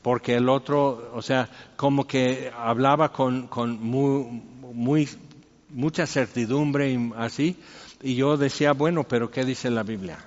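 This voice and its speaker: A man's voice: mid-range voice, steady tone, measured pace. A man with a Mexican accent giving an informal, conversational sermon as a pastor, rambling with joking asides. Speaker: pastor preaching. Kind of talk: informal expository sermon with anecdotes. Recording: echoey hall, dull muffled sound.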